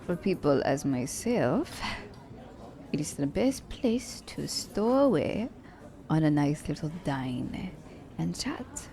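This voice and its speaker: A woman's voice: sits low, very animated, slow pace, crowd noise, heavily acted. A woman playing a poised, teasing female assassin in an ASMR romance roleplay, speaking formally and condescendingly to her captive werewolf. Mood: teasing, welcoming. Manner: formal, slightly stilted wording, teasing. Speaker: voice actor.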